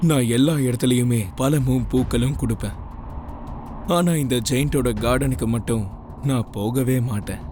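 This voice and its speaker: A man voicing a firm, disapproving spirit of the seasons in a children's animated story. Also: medium pitch, normal speed, natural voice. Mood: firm disapproval. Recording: music behind the voice.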